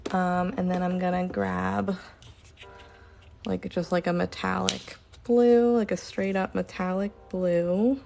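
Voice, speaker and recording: A woman casually chatting during a live stream while she paints, rambling with lots of fillers and sometimes talking softly. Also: fairly low pitch, slow pace, creaky, a little hiss or hum. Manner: says she is relaxed, talks softly at times.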